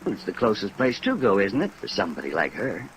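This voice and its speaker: A chatty, slangy American man, an apartment manager in a 1950s radio serial. He gossips offhandedly in a deep voice. Faint rain noise runs under the old recording. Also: wildly swooping pitch, quick pace, poor audio. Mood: gossipy, offhand. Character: gossipy, folksy apartment house manager, middle-class American. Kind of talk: 1950s radio drama acting.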